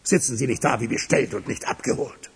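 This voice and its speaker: A man's voice: medium pitch, brisk, creaky, breathy edge. An actor playing an impatient, domineering boss in a German radio crime drama, commanding and sardonic with his subordinates. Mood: angry.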